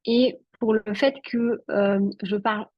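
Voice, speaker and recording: A chatty woman from Switzerland, a native Italian speaker, talks as a guest in an interview and leans on filler words. Her voice has a husky, slightly breathy edge. The sound is dry and a little dull, as over a video call. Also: a little high, fairly even intonation, slow pace, no echo. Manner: chatty, many fillers like "en fait". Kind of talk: casual interview talk.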